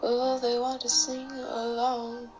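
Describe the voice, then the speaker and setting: Young woman singing softly over guitar. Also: a little high, completely flat delivery, takes it slowly, poor audio. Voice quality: raspy, tired. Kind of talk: singing own song with guitar. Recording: home demo with guitar playing.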